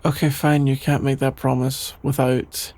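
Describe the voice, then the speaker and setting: A man's voice: medium pitch, flat delivery, darkish tone, close to an everyday voice. A young man playing a shy, sleepy, easily embarrassed best friend in an intimate ASMR roleplay, speaking hesitantly and trailing off. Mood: reluctant, nervous. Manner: hesitant, halting, trailing off with sighs and nervous chuckles. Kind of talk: intimate ASMR audio roleplay, improvised-sounding dialogue.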